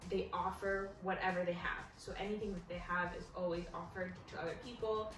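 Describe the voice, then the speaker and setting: A woman's voice: fairly low pitch, fairly even intonation, brisk, background noise. A woman in her early twenties from Egypt and the United States, a native Arabic speaker, chatting informally as an interview guest. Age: early 20s. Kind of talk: casual conversational interview answers. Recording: echoey room, distant mic, music behind. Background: music.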